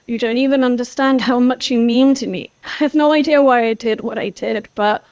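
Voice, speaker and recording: A young woman playing an apologetic teenage girl in an amateur voiced game series, talking in a halting, earnest ramble. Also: a little high, fairly even intonation, darkish tone, no echo, close to an everyday voice. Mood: guilty, pleading. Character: guilty, apologetic teenage girl, former best friend. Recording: dull lo-fi voice track.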